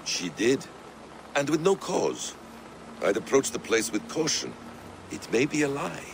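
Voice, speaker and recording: An actor playing a worldly, thoughtful warrior-scholar in a video game, with a measured, eloquent, poetic delivery. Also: male voice, mid-range voice, huge pitch swings, gravelly, background noise, heavily acted. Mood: wry, grave. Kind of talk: dramatic video game acting.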